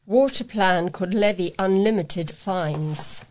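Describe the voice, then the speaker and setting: A woman's voice: on the low side, slow pace, a little mellow, a little hiss or hum. A British woman reading local news aloud as a volunteer newsreader.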